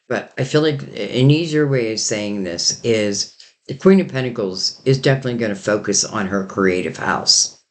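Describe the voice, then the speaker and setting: A man giving a rambling, casual tarot reading while losing his voice and sounding hoarse. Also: medium pitch. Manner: rambling, loose and intuitive, many "like" and "you know". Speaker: tarot reader.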